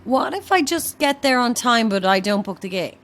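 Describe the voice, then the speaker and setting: A woman's voice: on the high side, animated, moderate pace. A woman playing a flustered, self-dramatizing struggling actress who rambles theatrically to herself in an audio drama. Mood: flustered, defensive. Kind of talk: modern audio drama acting.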